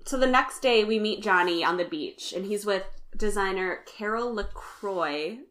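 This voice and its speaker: A woman co-hosting a podcast, calmly summarizing the episode events in a casual chat. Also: mid-range voice, a little slow. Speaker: podcast co-host. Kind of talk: casual chat recapping a reality show.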